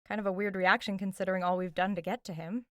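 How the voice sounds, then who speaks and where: A woman's voice: mid-range voice, steady tone, fast, fairly bright tone, dry recording, natural voice. A young American woman playing a wry, skeptical but loyal friend who questions directly in a naturalistic audio drama. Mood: probing, skeptical. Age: young adult. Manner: dry, sardonic, direct.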